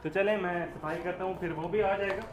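A man's voice: high-pitched, steady tone, brisk, background noise. A young man casually chatting to viewers in a pet vlog, tired from the heat and cleaning work, recorded outdoors on a camera mic. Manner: chatty, addresses viewers as friends. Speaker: pet vlogger. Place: outdoor rooftop in summer heat. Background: background music.

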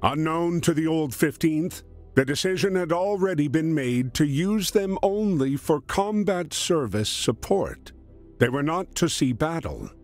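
A man narrating a scripted dramatic story in a measured, solemn way. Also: a little high, very animated. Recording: music under the voice. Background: background music.

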